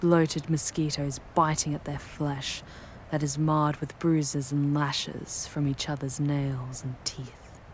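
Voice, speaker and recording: A woman voicing a charming, darkly seductive demoness in a scripted ASMR roleplay, speaking in measured, ornate, ominous phrases that drift toward breathy near-whispers. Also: deep voice, level tone, a little hiss or hum, poor audio. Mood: ominous, solemn. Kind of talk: scripted ASMR audio roleplay, dramatic monologue.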